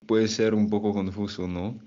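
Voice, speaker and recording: Low-voiced man hosting a video call. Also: flat delivery, dark tone. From France. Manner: friendly, asks questions slowly and clearly. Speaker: host of a language channel. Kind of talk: conversational interview. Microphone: earphone headset on a video call. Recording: dull video call audio.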